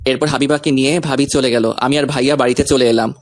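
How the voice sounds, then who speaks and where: A man's voice: medium pitch, steady tone, quick pace, dry recording, clean studio sound. A man reading a scripted first-person story, narrating events and speaking the lead character's lines.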